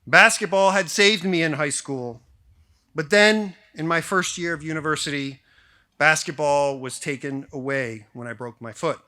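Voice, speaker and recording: A man in his forties with an American accent, delivering a prepared ceremonial speech in measured phrases with deliberate pauses. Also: fairly high pitch, sharp tone. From grew up in Japan, then suburban Detroit, US. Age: 40s. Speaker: school faculty member giving a valedictory speech. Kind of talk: prepared formal speech to graduating class.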